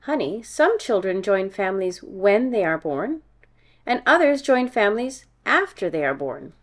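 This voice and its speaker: A gentle mother from a children's storybook, as a woman reader puts her on. She explains things patiently and tenderly, reassuring a small child in a middle-pitched voice. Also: very animated, measured pace.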